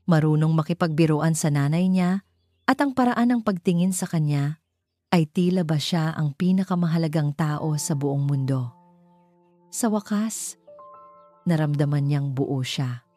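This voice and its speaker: A woman narrates a dramatic love story in a low-pitched voice. Her tone is soft-edged and mellow, and her delivery is measured and sentimental. Faint music plays behind her. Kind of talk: scripted dramatic romance narration. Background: background music.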